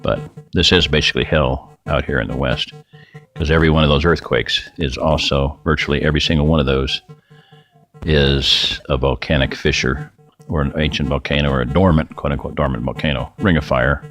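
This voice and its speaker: A middle-aged man hosting a rambling, folksy screen-share talk, his voice getting raspy and dry. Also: rumbling low, fairly even intonation, fairly quick, a bit bright, faint music behind. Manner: rambling, folksy, self-interrupting. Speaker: video host.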